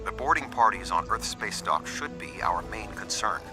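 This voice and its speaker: Stoic older space admiral in a game, deep formal delivery, echoey with music. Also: male voice, animated, pushed and bright. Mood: calm, grave. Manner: formal, measured, unemotional. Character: composed, logical alien admiral, older male. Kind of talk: video game voice acting.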